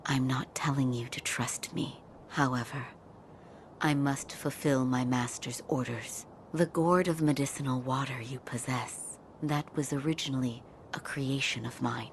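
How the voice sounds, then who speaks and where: Very deep-voiced, calm formal lady doctor. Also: a little hiss or hum. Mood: measured, courteous. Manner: measured, reserved, courteous. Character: calm, formal, devoted female doctor in feudal Japan. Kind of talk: video game cutscene acting.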